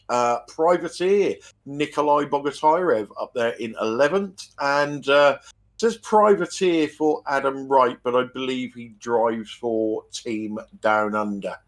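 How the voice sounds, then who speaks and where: A man commentating a sim race in a calm, matter-of-fact way. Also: medium pitch, measured pace.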